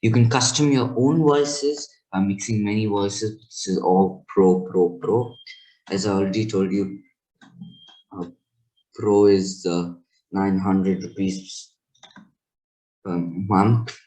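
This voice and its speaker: A man giving a loose, rambling software tutorial and correcting himself as he goes. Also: on the low side, fairly even intonation, slow pace, long pauses, poor audio. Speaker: tech channel host. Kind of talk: casual screen tutorial.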